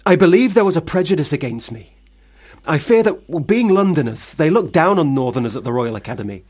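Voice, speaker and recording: An actor playing a young, self-justifying failed artist and poet, speaking with florid formality in a period radio drama. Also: male voice, on the high side, sing-song, a little fast, darkish tone, husky. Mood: defensive, lofty.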